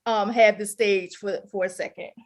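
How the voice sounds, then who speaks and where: A woman's voice: mid-range voice, steady tone, moderate pace. A woman in her fifties hosting a casual relationship talk show on the radio. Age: 50s.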